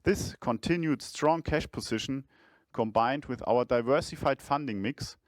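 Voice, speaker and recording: A man speaking as a chief financial officer, delivering a scripted earnings presentation in a measured, formal manner. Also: mid-range voice, no echo.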